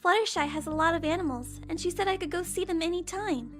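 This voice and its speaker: A woman's voice: very high voice, fast, a bit bright, faint music behind. A woman voicing a small pink filly. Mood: pleading, eager. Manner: childlike, tearful, pleading. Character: small scared pink filly, little sister.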